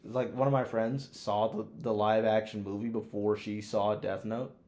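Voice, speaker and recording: A man's voice: fairly low pitch, flat delivery, moderate pace, warm tone. A young man co-hosting a podcast, chatting casually and giving opinions in emphatic, profane slang. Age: young adult. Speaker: podcast co-host. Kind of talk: casual chat, opinionated film ranking.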